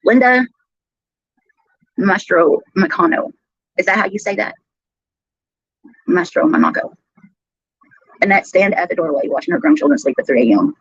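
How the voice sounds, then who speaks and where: A woman's voice: mid-range voice, very animated, moderate pace, pauses often, slightly rough, no room sound. Giggly woman chatting on a lo-fi stream. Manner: giggly, crude, incredulous. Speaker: live streamer. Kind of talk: casual live stream chat. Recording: dull, lo-fi replayed stream audio.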